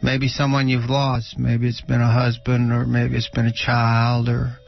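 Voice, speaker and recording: A middle-aged or older man giving unscripted spiritual teaching on a radio show, halting and often pausing mid-sentence. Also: medium pitch, monotone, gravelly, dull audio.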